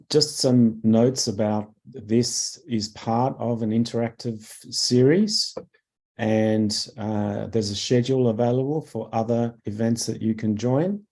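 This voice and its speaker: A man with a mellow, somewhat low voice leads an online product webinar. He sounds croaky from a cough and keeps clearing his throat. He talks in halting bits full of "uh" and "um", speaking into a desk mic. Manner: halting, many fillers, clears throat often. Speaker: product manager presenting a webinar. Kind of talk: webinar presentation.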